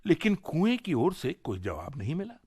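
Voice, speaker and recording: A man narrating a folk tale for radio, in an expressive, clearly enunciated storytelling delivery. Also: a little high, normal speed, no echo, studio quality. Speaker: radio storyteller and series presenter.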